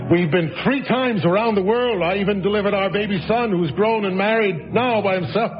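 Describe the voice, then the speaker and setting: A man's voice: sits high, fairly quick, faint music behind, lightly acted. A man playing a wry retired novelist, lo-fi. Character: retired novelist recounting his escape, wry and reflective. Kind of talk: radio drama acting.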